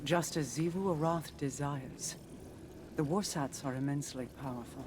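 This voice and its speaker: A woman's voice: low voice, heavy background noise, natural voice. Woman as solemn, commanding alien leader. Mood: ominous. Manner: solemn, deliberate, formal. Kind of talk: video game voice acting.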